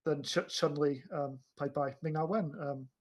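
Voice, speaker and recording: A man co-hosting a film podcast, chatting casually in a halting, rambling way with repeated starts. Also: a little high, fairly even intonation.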